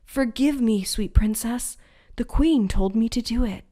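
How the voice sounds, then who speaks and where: A woman doing a gentle, pleading hunter. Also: a little high, unhurried. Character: kind, fearful male hunter.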